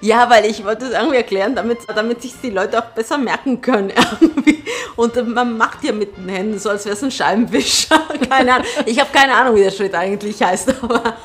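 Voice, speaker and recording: High-voiced, chatty Spanish-native woman from Argentina. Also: a little fast, fairly bright tone. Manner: giggly, candid, chatty. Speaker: singer and actress, radio guest. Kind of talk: casual personal storytelling in a radio interview. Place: radio broadcast. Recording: music behind the voice. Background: music.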